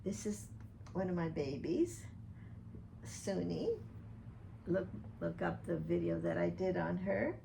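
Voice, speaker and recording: An older woman delivers a slow, earnest monologue, speaking pointedly to one person by name. Her voice sits fairly low and carries a heavy gravelly rasp. A faint noise stays in the background throughout. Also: dark tone, rough recording. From Dominican Republic. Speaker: vlogger addressing a public figure. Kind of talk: personal open-letter video monologue.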